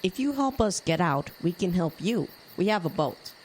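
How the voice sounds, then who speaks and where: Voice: mid-range voice, big pitch swings, normal speed, slight background noise. A young performer playing an earnest kid who leads a gang of children in an audio drama. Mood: pleading. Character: kid gang leader, earnest, reassuring, improvising. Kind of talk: youth audio drama acting.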